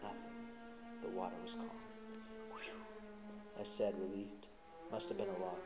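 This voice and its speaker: A man narrating a first-person story in an expressive, dramatic way as host of a story show. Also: fairly low pitch, moderate pace, over loud music. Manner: dramatic, animated on exciting parts.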